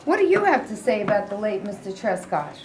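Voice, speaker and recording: A woman's voice: mid-range voice, big pitch swings, fairly quick, a little hiss or hum, lightly acted. A woman reading a pushy male reporter. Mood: probing. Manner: probing, questioning. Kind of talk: staged play reading. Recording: echoey room.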